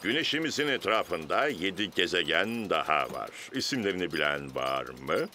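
Excitable, wildly swooping rabbit tour guide. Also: male voice, deep voice, moderate pace, a little hiss or hum, exaggerated voice. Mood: instructive. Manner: theatrical, announcing, instructive. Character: male rabbit tour guide, excitable, earnest, a stickler about seriousness. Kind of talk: animated cartoon dub acting.

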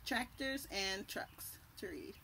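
A young adult woman reading a picture book aloud to children, deliberately, pausing to point out and label the pictures. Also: mid-range voice, lively intonation, bright, forceful tone. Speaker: children's read-aloud host. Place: outdoors on a house porch by trees. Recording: birdsong and light outdoor background noise. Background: birds chirping.

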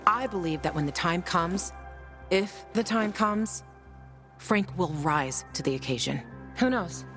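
A woman's voice: fairly low pitch, lively intonation, a little slow, a bit bright, faint music behind. An actress voicing a blunt, tough, foul-mouthed young woman fighter, wry and loyal, in a video game. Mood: defiant. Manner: blunt, swearing, conversational. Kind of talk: video game dialogue acting.

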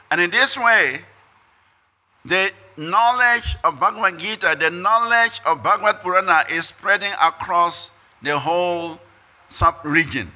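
High, bright-voiced older Ghanaian man. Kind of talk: prepared speech read at a lectern. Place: conference hall stage. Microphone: podium microphones.

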